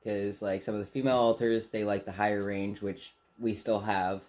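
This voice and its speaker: A soft-spoken young man co-hosting a casual get-to-know-you chat in a small bedroom, laughing often and speaking candidly. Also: on the low side, flat delivery, moderate pace. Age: young adult. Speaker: channel co-host.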